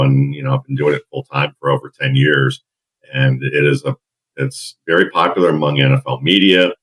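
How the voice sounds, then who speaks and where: A middle-aged man with a deep baritone and a husky edge, talking in a relaxed, storytelling way as a podcast guest. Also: rough recording. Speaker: guest draft analyst. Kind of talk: casual podcast interview.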